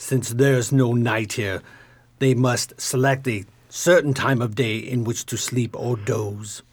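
A man's voice: medium pitch, fairly even intonation, slow pace, slightly rough. A man playing a genteel, brave old stage magician in a radio play, with a formal, avuncular delivery. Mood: calm, grave.

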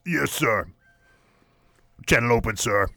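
Man playing a gruff starship weapons officer. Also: medium pitch, lively intonation, slow, sharp tone, no echo, full character voice. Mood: grim. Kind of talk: comedic radio theatre parody acting.

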